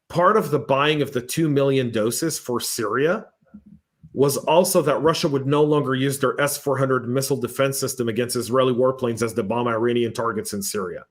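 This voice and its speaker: A man hosting a podcast panel, telling lively stories and reading out viewer comments in a casual, chatty way. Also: mid-range voice. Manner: animated storyteller, acts out exchanges. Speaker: podcast host.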